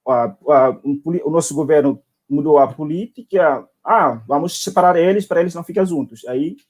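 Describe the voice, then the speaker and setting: A man's voice: on the high side, brisk, darkish tone. A young man from Timor-Leste with a Timorese accent, speaking Portuguese as a non-native language. He gives a casual account as an interview guest, often searching for words and repeating phrases. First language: not Portuguese. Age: young adult. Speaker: guest, international university student.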